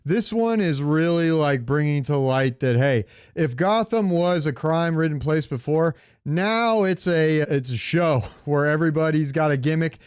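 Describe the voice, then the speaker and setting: A man's voice: fairly high pitch, animated, moderate pace, hardly any pauses, clear, resonant tone, no room sound, clean studio sound. A man chatting casually and enthusiastically as a podcast co-host, with some loose profanity. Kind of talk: casual pop-culture podcast chat.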